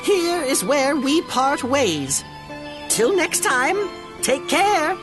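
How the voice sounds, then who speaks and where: High-voiced, composed scholar-mage. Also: female voice, big pitch swings, music in the background, full character voice. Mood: businesslike. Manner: formal, measured, dryly arch. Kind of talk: fantasy game voice acting.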